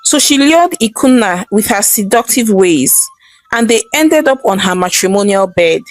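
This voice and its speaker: A woman's voice: mid-range voice, expressive, moderate pace, low-quality recording. A woman narrating a scripted folktale in a dramatic storytelling style.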